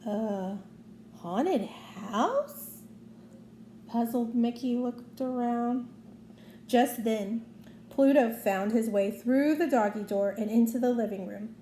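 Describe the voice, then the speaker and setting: A woman's voice: a little high, a little slow, dark tone. A woman reading a children's story aloud as narrator. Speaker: storybook reader. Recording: roomy echo, faint background noise.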